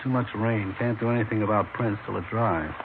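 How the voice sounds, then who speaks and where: A methodical American forensic man acted by a man with a lowish, dry delivery. It is vintage radio drama, narrow in sound with clear hiss. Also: fairly even intonation, fairly quick, lightly acted. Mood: dry, businesslike. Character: methodical male police crime lab technician. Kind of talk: 1950s radio police drama acting. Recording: tinny, hissy old radio broadcast.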